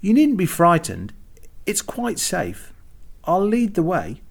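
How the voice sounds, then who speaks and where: A storybook narrator voicing a tiny, courteous, excitable little magical king with a quaint, exclamatory way of talking. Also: medium pitch, takes it slowly, creaky, voice pushed up, heavily acted. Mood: reassuring. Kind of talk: children's storybook character voice.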